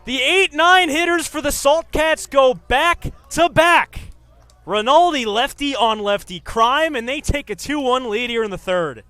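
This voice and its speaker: A man's voice: very high-pitched, lively intonation, a little slow, pushed and bright, no room sound. A man in his twenties working as a sports announcer, delivering reporting and live baseball play-by-play commentary. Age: 20s.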